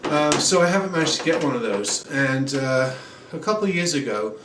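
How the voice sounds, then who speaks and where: A man in his forties giving a relaxed home guitar review, rambling with many ums and uhs. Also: on the high side, a little fast. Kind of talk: casual product review. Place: small room at home, indoors. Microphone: camera mic at a distance. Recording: roomy, distant camera audio with noise. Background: acoustic guitar playing.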